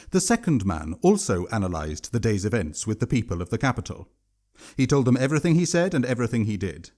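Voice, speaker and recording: A man's voice: medium pitch, a little mellow. A man narrating a written story as an audiobook-style reader and its author.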